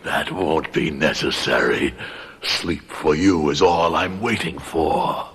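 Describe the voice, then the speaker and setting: An actor in a radio horror drama playing an ancient, cursed wandering ghost, menacing and solemn, with a husky, whispery voice and portentous delivery. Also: male voice, very deep voice, fairly bright tone, exaggerated voice. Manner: slow, portentous, formal.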